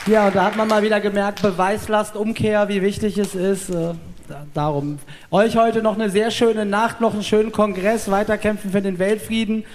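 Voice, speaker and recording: A man's voice: high voice, steady tone, normal speed, few pauses. A man hosting a live event, making casual announcements from the stage. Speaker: stage host. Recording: live stage, crowd, faint noise.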